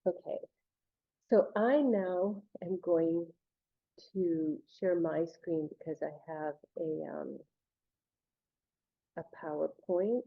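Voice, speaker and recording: A woman academic speaking in a measured way over a video call, with some fillers. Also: lowish voice, creaky. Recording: dull lo-fi call audio.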